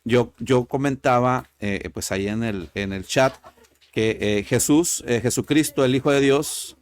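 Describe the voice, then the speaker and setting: A man with a Mexican Spanish accent calls in to debate. He talks hesitantly, filling pauses with "eh" before quick bursts. His pitch sits in an ordinary middle range. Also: clear, resonant tone. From Tijuana, Mexico. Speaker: caller. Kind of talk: call-in debate.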